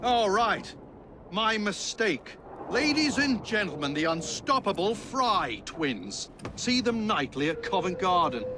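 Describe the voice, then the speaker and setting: Wry, animated older mentor. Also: male voice, high-pitched, slow, noisy background, heavily acted. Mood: sarcastic. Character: older British Assassin mentor, wry and theatrical. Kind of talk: video game cutscene acting.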